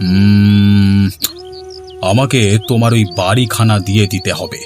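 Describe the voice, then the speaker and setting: A man playing a deep-voiced, stern village headman. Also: fairly even intonation, a little slow, a little mellow, natural voice. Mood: cold, calculating. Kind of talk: Bengali cartoon voice acting. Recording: background music under the voice.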